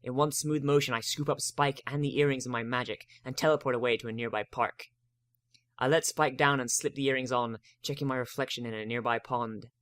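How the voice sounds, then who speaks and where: A man giving a dramatic first-person reading of a story, expressive and wry. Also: medium pitch, dry recording, clean studio sound. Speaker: narrator of a fan fiction reading.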